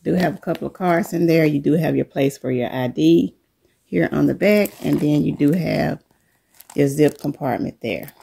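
A middle-aged woman coming down with a cold, showing the contents of her bag to viewers in a relaxed, unhurried chat from her living room. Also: deep voice, gravelly, slightly rough. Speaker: vlogger. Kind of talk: casual show-and-tell chat.

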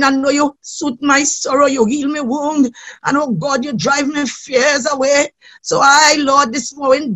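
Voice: high-pitched, fairly bright tone, slightly rough. A worship leader praying aloud in a fervent, impassioned, pleading way, calling on God again and again.

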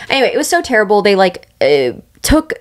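A woman's voice: mid-range voice, sing-song, fairly quick. A woman in her twenties with an American accent, chatting casually and rambling a little as a podcast co-host. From Allentown, United States. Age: 20s. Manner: rambling, peppered with "like". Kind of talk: casual conversational podcast chat. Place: small tiled bathroom, indoors. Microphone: podcast mic on boom arm, close.

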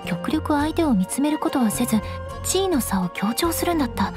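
A proud young noblewoman knight speaks in a high voice. She is haughty and formal in an old aristocratic way, yet easily flustered. Game dialogue with clear music behind. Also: expressive, fairly quick. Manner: haughty, archaic aristocratic phrasing. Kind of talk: Japanese game voice acting.

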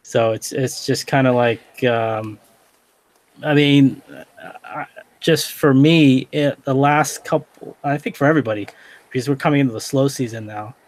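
A man's voice: medium pitch, a little slow. A man in his thirties hosting a casual podcast over a video call, sounding stuffed up and nasal, rambling with lots of fillers. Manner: relaxed, rambling with many fillers. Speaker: podcast host. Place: small office room indoors. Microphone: headset on a video call.

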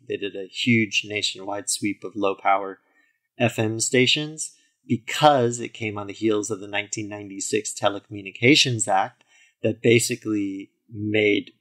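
A man's voice: lowish voice, a bit bright. A man in his forties, a podcast guest, telling his story fluently with frequent "you know" fillers. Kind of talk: interview conversation.

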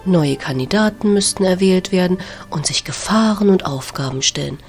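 A woman playing a scheming goddess mother in an audio drama, speaking in a smooth, persuasive way. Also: lowish voice, expressive, normal speed, a little mellow, faint music behind. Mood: stern.

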